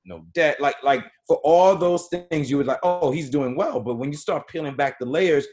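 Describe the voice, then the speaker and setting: A man talking reflectively in a casual podcast discussion, often pausing and restarting his thoughts. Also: fairly high pitch, darkish tone.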